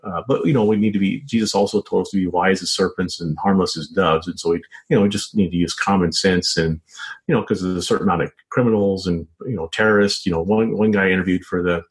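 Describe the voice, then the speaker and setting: An American man speaking as an author being interviewed, answering casually and haltingly with lots of hedging filler phrases. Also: low-pitched, steady tone, poor audio. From United States. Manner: halting, frequent hedging with "you know" and "sort of". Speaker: author and journalist, interview guest. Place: home office set up as a small studio.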